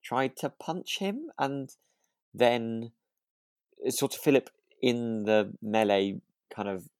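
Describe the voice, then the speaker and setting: A man's voice: medium pitch, slow pace, darkish tone, dry recording, clean studio sound. A man co-hosting a podcast, chatting casually in a hesitant, tentative way. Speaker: podcast co-host. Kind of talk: relaxed chat reviewing a radio drama.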